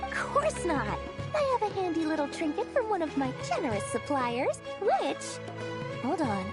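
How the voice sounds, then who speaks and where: An actress playing a greedy, self-important traveling merchant in a video game, with pompous sales patter and dramatic delivery. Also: female voice, very high-pitched, wildly swooping pitch, moderate pace, loud music behind the voice, exaggerated voice. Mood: alarmed. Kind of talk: animated video game cutscene voice acting.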